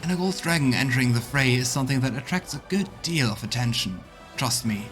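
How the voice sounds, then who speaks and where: A solemn gold dragon in a healer's guise , acted out by a man speaks in formal, measured phrases. The voice is middle-pitched and belongs to a fantasy game, with soft music underneath. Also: expressive, natural voice. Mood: grave, earnest. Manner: formal, measured storybook speech.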